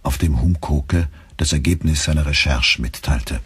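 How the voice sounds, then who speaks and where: A man with a husky voice narrating a German literary radio play from a script. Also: very deep voice, normal speed, a bit bright, no room sound.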